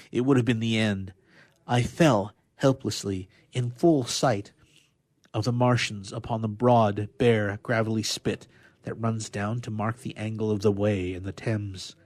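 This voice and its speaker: A man giving a dramatic reading of classic science fiction, with emphatic, drawn-out phrasing. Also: medium pitch, no room sound. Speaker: radio player reading literature aloud.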